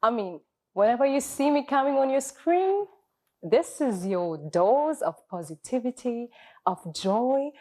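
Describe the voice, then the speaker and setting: A woman's voice: fairly high pitch, lively intonation, a little slow, mellow. A woman hosting a talk show in a studio, opening with emphatic, encouraging words of gratitude and faith before she welcomes her guest. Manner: encouraging, emphatic, with spiritual wording. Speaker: host.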